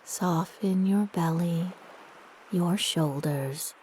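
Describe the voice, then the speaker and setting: Slow, mellow woman guiding meditation. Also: on the low side, slight background noise. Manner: soothing, gentle, unhurried with long pauses. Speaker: meditation guide. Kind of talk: guided meditation narration.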